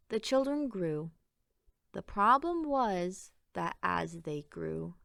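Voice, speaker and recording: A woman reads a rhyming picture book aloud for children. She takes her time, shaping each line with an expressive, sing-song lilt. Her voice sits at an average pitch in a dry sound with little room on it. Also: gravelly, no echo. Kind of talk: read-aloud children's storytelling in rhyme.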